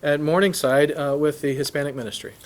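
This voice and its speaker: A man's voice: fairly high pitch, steady tone. A man hosting a church workshop who introduces the speaker and then interprets him phrase by phrase, often restarting phrases. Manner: halting, restarts phrases while translating. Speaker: host and interpreter.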